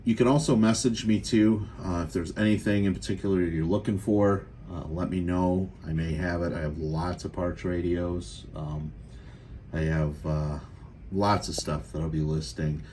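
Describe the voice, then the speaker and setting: A man with a low voice talks through an item he is selling in a casual, unscripted way. His delivery is plain and halting, with frequent pauses. There is clear noise behind him on the homemade video recording. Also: fairly even intonation, dark tone. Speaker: auction seller demonstrating radio gear. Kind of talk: casual unscripted product demo.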